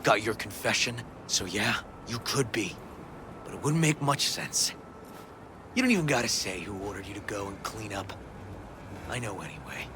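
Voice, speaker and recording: A man playing a dogged, principled police detective in a video game, terse and gruff, with an American accent. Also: fairly low pitch, background noise, natural voice. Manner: terse, clipped, gruff street talk.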